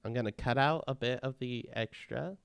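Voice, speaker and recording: A man narrating a casual step-by-step software tutorial in a plain, instructional manner. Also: medium pitch, moderate pace, creaky, clean tone, no echo.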